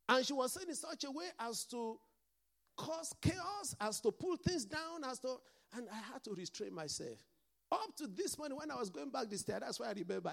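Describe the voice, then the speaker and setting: A man's voice: very high-pitched, vocal fry. A man with a mixed Nigerian and Scottish accent, preaching a sermon as pastor and calling out to the congregation for responses.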